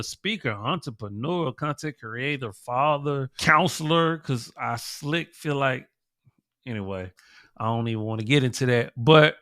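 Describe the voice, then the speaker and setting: A man's voice: fairly high pitch, sharp tone, dry recording, studio quality. An American man hosting his own show, talking casually with a stuffed-up nose and checking in with "right?" and "okay?". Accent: American English. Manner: conversational, keeps adding "right?" and "okay?". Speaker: podcast host. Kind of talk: casual monologue commentary.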